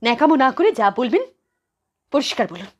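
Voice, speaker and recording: A woman playing a haughty, scolding modern daughter-in-law and mother in a family skit, with a sharp, contemptuous manner. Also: sits high, big pitch swings, a little fast. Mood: impatient. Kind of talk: solo voice-modulated Bengali family skit.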